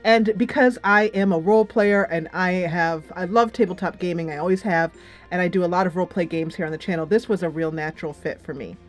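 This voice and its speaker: A woman's voice: fairly low pitch, fairly quick. Woman chattily reviewing over music. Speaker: game reviewer and channel host. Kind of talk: casual spoken video game review.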